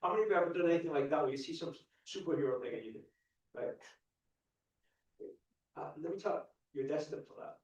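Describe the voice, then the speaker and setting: A man's voice: on the high side, expressive, quick pace, long pauses, distant mic, lo-fi sound. A young man from the UK giving a live stage talk to an audience, earnest, asking the crowd questions and often restarting his sentences. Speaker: motivational speaker. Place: large indoor event hall. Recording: echoey hall, mic heard from afar.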